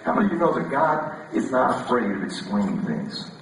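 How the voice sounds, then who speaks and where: A man preaching a relaxed, conversational sermon, his voice scratchy. He jokes and often repeats words as he restarts. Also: medium pitch, brisk, gravelly. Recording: echoey hall, voice from far off.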